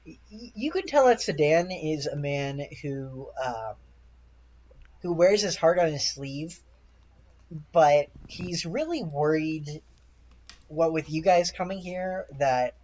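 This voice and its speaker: A man's voice: on the high side, animated, a little mellow. Male game master, improvised tabletop narration, hesitant phrasing, lo-fi audio. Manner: halting, searching for words. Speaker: dungeon master.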